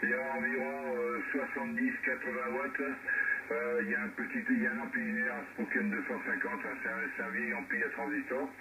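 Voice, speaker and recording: A man's voice: medium pitch, sing-song, fairly quick. A man chatting casually as an amateur radio operator over a radio transmission, slightly out of breath, hesitant, repeating words as he goes. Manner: hesitant, repeats words, often ends phrases with "hein". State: a little out of breath. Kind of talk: casual radio contact chat. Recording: noisy muffled radio signal, distant, heavy static. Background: loud radio noise.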